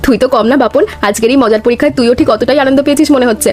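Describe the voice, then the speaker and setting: A woman's voice: high-pitched, rapid-fire, a little mellow, lightly acted. A woman playing a playful, affectionate young daughter-in-law in village cartoon dialogue. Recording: faint music behind the voice.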